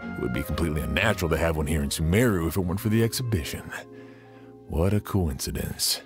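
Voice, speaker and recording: An actor playing a cool, observant man with a dry, measured manner in an anime game. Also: deep voice, lightly acted. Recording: game music behind the voice.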